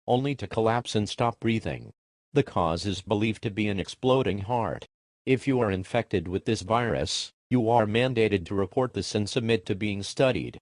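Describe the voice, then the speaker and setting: Low-voiced man reading an emergency alert. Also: no echo, clean studio sound. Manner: formal, impersonal announcer delivery. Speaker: narrator of fictional emergency broadcasts. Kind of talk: scripted emergency alert narration.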